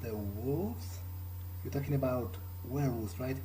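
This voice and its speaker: A man improvising in character as he plays a game, speaking hesitantly and in a measured way with pauses. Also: medium pitch, noisy background. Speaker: gamer playing in character. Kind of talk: improvised in-character role-play over a game.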